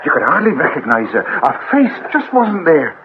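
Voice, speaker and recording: Casting: obliging British village constable, rather high voice, bouncy intonation, thin radio-drama recording. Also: male voice, huge pitch swings, normal speed. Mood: informative, grim. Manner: eager, apologetic, obliging. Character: deferential, chatty male local police constable. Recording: tinny band-limited radio recording, faint noise.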